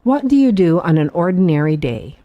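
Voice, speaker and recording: A woman interviewer asking short questions slowly and carefully. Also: fairly low pitch, very animated, mellow, lo-fi sound.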